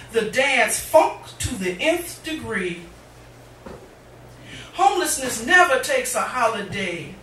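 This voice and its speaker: A middle-aged woman reading poetry live, with deliberate, dramatic phrasing and pauses. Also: a little high, a bit bright, recorded from a distance. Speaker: poet, featured reader. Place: indoor cafe reading. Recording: noisy, echoey room recorded from afar.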